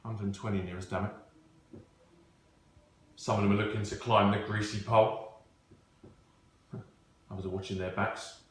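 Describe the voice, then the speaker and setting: A man playing a genial but commanding company boss in a stage play, chummy and deliberate. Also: fairly low pitch, level tone, close to an everyday voice. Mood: confiding, wary. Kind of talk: amateur stage-play acting, naturalistic dialogue. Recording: very echoey, distant, faintly noisy recording.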